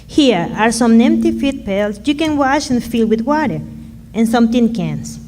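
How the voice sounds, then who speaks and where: Humble, generous Mexican mother, played by a woman: slightly high voice, Spanish accent, halting English, radio play. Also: animated, dark tone, slight background noise. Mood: gentle, helpful. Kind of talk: radio drama acting.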